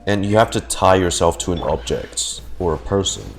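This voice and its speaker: A man's voice: deep voice, normal speed. A man giving casual, rambling livestream commentary on a game in informal language with some mild swearing. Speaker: game streamer. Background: game music.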